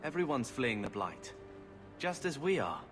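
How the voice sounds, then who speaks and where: A man's voice: medium pitch, big pitch swings, normal speed, lightly acted. A man playing a jaded young refugee in a fantasy game, sardonic and resigned, over music. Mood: resigned, cynical. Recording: music behind the voice.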